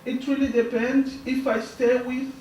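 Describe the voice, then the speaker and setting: A young woman from Burundi whose first language is Swahili, speaking as a guest and answering audience questions in a soft, halting way, often laughing. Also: sits high, little pitch movement, slight background noise. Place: school hall. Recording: echoey hall, distant mic.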